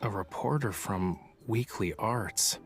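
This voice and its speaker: Teasing young artist type from a game, dry and drawly, faint music behind. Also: male voice, lowish voice, slow pace. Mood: cool, teasing. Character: cool, teasing, unruffled young male artist. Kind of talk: English game voice acting.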